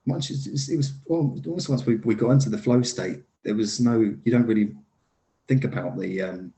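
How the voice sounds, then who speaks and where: Hesitant man with a low voice. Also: normal speed, mellow. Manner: hesitant, many fillers and restarts; laughs easily. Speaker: lead actor guest. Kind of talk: casual interview chat. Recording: echoey room.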